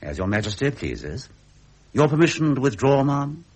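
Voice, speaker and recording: A courtly statesman in a vintage radio drama. His upper-class British delivery is formal and deferential, with very wide swings of pitch and a husky tone. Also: sing-song, normal speed, a little mellow. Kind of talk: period radio drama acting. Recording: muffled vintage radio recording.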